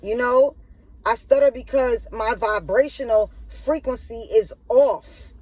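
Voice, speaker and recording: A woman's voice: on the high side, a little slow, darkish tone, no echo, low-quality recording. A woman hosting a spiritual monologue who stutters and keeps asking the listener "you know what I'm saying". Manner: stuttering, repeats filler phrases. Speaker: channel host.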